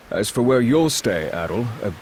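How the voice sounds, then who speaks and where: An actor playing a courteous, dutiful war chief in a video game, speaking in a composed and formal manner. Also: male voice, mid-range voice, a little hiss or hum. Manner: formal, measured wording. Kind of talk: video game cutscene acting.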